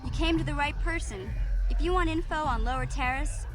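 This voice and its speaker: Casting: actress as sassy streetwise alien teen girl, higher-pitched, game dialogue over music. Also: a little fast, close to an everyday voice. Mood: confiding, informative. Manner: sassy, cocky, chatty. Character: brash, streetwise teenage alien girl, female street urchin. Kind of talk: video game character dialogue. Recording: music behind the voice.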